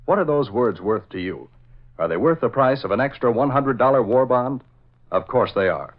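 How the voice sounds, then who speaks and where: A man's voice: mid-range voice, expressive, moderate pace. A man announcing and narrating in scripted 1940s radio style, with dramatic, emphatic delivery. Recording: old lo-fi radio broadcast.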